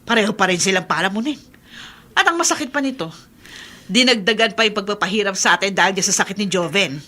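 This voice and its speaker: A woman's voice: mid-range voice, animated, fast, sharp tone. A woman acting a bitter, scornful middle-aged aunt in a Filipino radio drama, complaining in a theatrical way. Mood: resentful, nagging.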